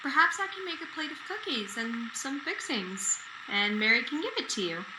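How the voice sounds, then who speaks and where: A woman's voice: high-pitched, expressive, normal speed. A woman playing a kind, courteous, sweet-tempered neighbour in a radio drama. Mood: sympathetic, kind. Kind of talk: golden-age radio drama acting. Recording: roomy echo, noisy background.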